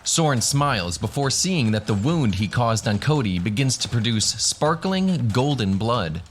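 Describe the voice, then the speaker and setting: A man's voice: medium pitch, sing-song, unhurried, soft background music. A man narrating a scripted fantasy adventure as the game master of a radio drama.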